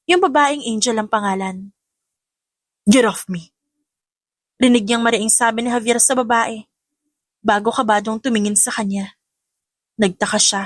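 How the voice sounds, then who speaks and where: A woman's voice: on the high side, no echo. A woman reading scripted audiobook narration of a story, recorded close. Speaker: narrator and voice actor.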